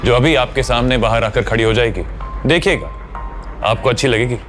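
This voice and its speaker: A man's voice: medium pitch, normal speed, a bit bright, faint music behind, close to an everyday voice. A man playing a confident young fiance in an audio drama, composed and polite with dry sarcasm. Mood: assured. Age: young adult. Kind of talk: Hindi audio drama acting.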